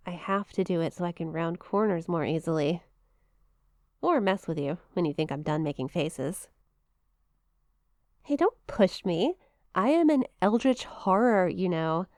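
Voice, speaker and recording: A woman's voice: lowish voice, a little mellow, dry recording, clean studio sound, close to an everyday voice. A woman playing a shy, playful young eldritch monster classmate in an intimate ASMR roleplay, teasing and hesitant, with a flustered stammer. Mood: mock threatening. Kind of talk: ASMR roleplay, intimate one-sided conversation.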